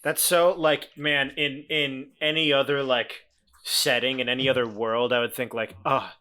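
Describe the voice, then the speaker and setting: A man's voice: mid-range voice, flat delivery, normal speed, sharp tone. A man playing in a tabletop game, speaking hesitantly with restarts and a wry, joking edge. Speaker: player. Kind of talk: casual tabletop play chat.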